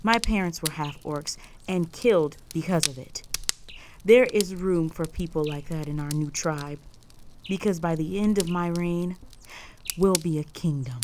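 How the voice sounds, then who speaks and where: A woman playing a stern, proud, possessive female orc chieftain in a roleplay audio drama, speaking in a formal, measured, authoritative way. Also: lowish voice, background noise, close to an everyday voice. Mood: commanding, stern.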